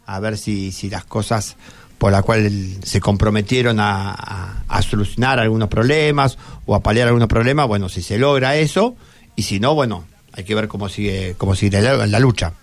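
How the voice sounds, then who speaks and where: A man with an Argentine accent hosting an informal radio conversation, speaking in halting phrases and repeating words. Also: on the low side, creaky. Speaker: radio host. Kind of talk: informal radio talk with students.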